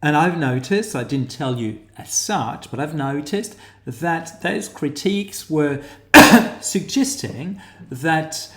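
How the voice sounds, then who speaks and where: A man with a New Zealand accent talks students through his method in a slow, unhurried lecture. He stops often to search for words, explaining as he goes. His voice carries a noticeable echo from the room. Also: on the high side, lively intonation, a bit bright. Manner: halting, pauses to find words, explanatory. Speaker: academic lecturer. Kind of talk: screen-share tutorial lecture.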